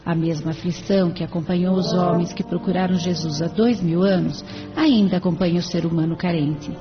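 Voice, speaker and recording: A woman's voice: lowish voice, fairly even intonation, a little fast, lightly acted. A woman playing a devoted spirit helper in an audio novela, explaining in a formal, kindly, didactic way. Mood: devoted, instructive. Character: serene, devoted female spirit helper explaining her charity. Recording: dull sound with faint music.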